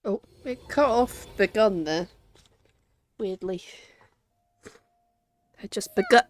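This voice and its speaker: A woman's voice: mid-range voice, lively intonation, slow, a little hiss or hum. A woman streamer reacting casually to a game, gushing and emotional. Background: faint game music.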